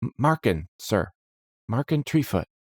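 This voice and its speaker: A man's voice: medium pitch, sing-song, measured pace, darkish tone, no room sound, clean studio sound, voice pushed up. A man voicing a nervous, earnest young male adventurer with a hesitant manner in a fantasy story. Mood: humble, nervous. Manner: stammering, hesitant.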